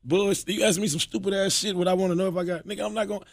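A man with a hoarse voice, co-hosting a podcast with loud, laughing, profane banter in a small studio. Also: high voice, fairly even intonation, rapid-fire. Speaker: podcast co-host. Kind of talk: casual group chat. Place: small radio studio with several guests. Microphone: boom-arm studio mic.

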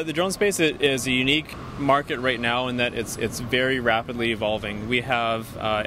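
A man in his thirties giving measured, businesslike explanations as an executive interviewed outdoors on a handheld microphone. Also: mid-range voice. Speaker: company CEO being interviewed. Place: outdoors on a busy city street. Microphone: handheld interview microphone held by interviewer. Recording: clear street and traffic noise.

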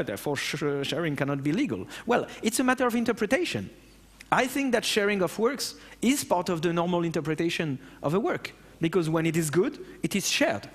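A man's voice: sits high, in a large hall. A man in his thirties with a French accent, answering audience questions on stage after a talk, passionate and blunt. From France. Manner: passionate, blunt, with casual swearing. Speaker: conference speaker. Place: large dark auditorium on stage.

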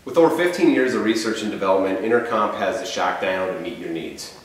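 A middle-aged man presents and demonstrates a product. His voice sits fairly low. The room adds a clear echo, and music plays in the recording. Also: fast. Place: indoor workshop showroom. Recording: roomy echo, faint music behind.

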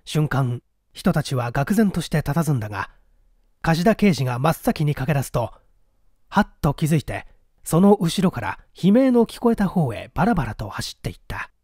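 A man's voice: fairly high pitch, very animated, moderate pace, a little mellow, slightly rough, dry recording, clean studio sound. A man narrating a mystery story in a dramatic, scripted reading style.

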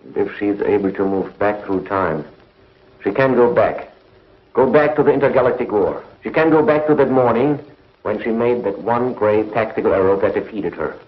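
An actor playing a stern, urgent commissioner in a 1950s television sci-fi serial, speaking emphatically. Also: male voice, on the low side, normal speed, lightly acted. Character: stern, urgent space commissioner leading the response. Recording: dull vintage TV soundtrack.

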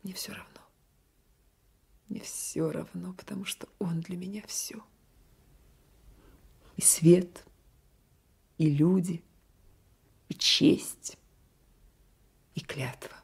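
An actress playing a defiant, lovestruck young peasant wife in a radio play, breathy and fervent, often dropping to a whisper. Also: female voice, lowish voice, fairly even intonation, takes it slowly, long pauses, darkish tone, exaggerated voice. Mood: anguished defiance. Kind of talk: 1980s radio play acting.

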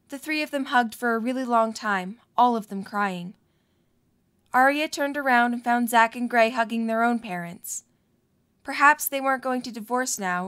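A woman's voice: on the high side, fairly bright tone. A woman reading scripted narration for a fan-made audio drama.

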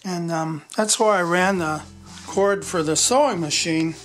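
A man's voice: sits high, unhurried, fairly bright tone. Plain-spoken man, rambling and folksy, with music bed under him. Husband co-host. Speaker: vlog co-host, handyman husband. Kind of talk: casual storytelling.

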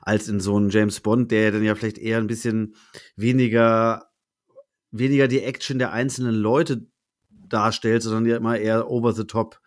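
A man's voice: lowish voice, flat delivery, moderate pace. A German man co-hosting a history podcast, asking rambling questions and thinking aloud with hesitations. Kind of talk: casual history podcast chat.

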